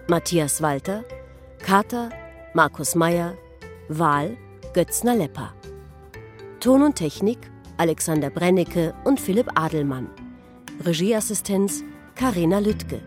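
A woman announcer reads scripted radio credits. Her voice sits fairly low and she goes slowly, name by name, with soft music behind her. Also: expressive.

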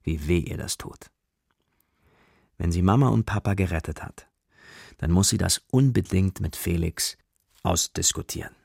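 A man's voice: deep voice, normal speed, creaky, pauses often, darkish tone, slightly rough, no echo, studio quality, heavily acted. A man narrating a children's radio play as a storyteller, musing in rhetorical questions. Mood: sympathetic. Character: storyteller following a worried girl's adventure.

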